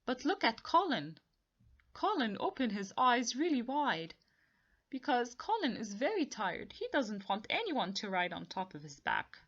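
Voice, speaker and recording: A woman reads a picture book aloud for young children at an unhurried, deliberate pace. Her pitch moves up and down a great deal as she tells and simply explains the tale. The recording sounds slightly muffled and lo-fi. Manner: expressive, deliberate, repeats and explains for young listeners. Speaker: storybook reader for children. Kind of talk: read-along storytelling with simple explanations.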